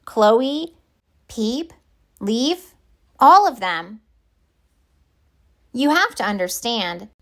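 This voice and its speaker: A woman reading a children's picture book aloud in deliberate, expressive narration. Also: a little high. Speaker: storybook reader.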